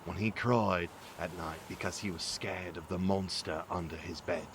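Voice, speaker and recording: A man playing a gruff, rueful father in an audio drama, speaking in a measured, weary and earnest way. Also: deep voice, fairly even intonation, background noise. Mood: wistful. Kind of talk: audio drama acting, reflective monologue.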